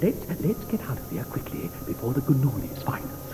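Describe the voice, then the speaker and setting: An actor playing an eccentric, fussy chocolatier in a studio radio play, talking theatrically and grandly. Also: male voice, medium pitch, very animated, brisk, dark tone, a little hiss or hum, natural voice. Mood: breezy. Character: eccentric, fussy, flamboyant adult male chocolatier.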